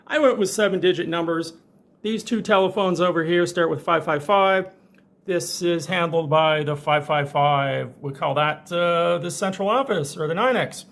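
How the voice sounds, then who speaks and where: Higher-pitched man giving a casual tech demo. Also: moderate pace, vocal fry, fairly bright tone. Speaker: presenter of a tech tutorial. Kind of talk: casual hands-on demonstration.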